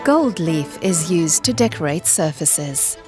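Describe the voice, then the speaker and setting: A woman reading scripted documentary narration in a measured, explanatory way. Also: low-pitched, expressive. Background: background music.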